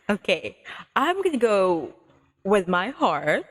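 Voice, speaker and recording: A woman livestreamer chatting playfully, with a giggly, gushing, expressive way of talking. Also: mid-range voice.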